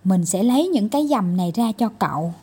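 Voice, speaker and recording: A patient little storybook doctor, played by a woman, coaxing and gently scolding. The delivery is animated and soft-edged, with a mellow, slightly husky tone. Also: mid-range voice, fairly quick. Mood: coaxing, reassuring. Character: tiny male doctor, patient, coaxing, mildly exasperated.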